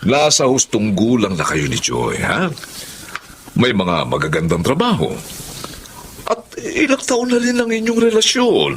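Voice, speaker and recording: A man's voice: medium pitch, wildly swooping pitch, a little slow, a bit bright, a little hiss or hum. An actor playing a doting, folksy stepfather of sixty in a Filipino family drama, chatty and fatherly, with a Filipino accent. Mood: eager, fatherly. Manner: fatherly, chatty, full of interjections. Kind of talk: Filipino radio-style family drama acting.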